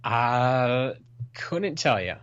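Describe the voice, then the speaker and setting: A man's voice: mid-range voice, animated, very slow, fairly bright tone, smooth, no echo, dull audio. A man co-hosting a casual podcast, reading listener emails and chatting with many restarts and fillers. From Washington State, US. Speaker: podcast co-host.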